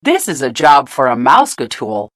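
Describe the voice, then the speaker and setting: Very animated woman reading a storybook. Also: very deep voice, normal speed, dry recording, clean studio sound. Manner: asks the young listeners questions. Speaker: storybook narrator. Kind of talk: expressive children's read-aloud narration.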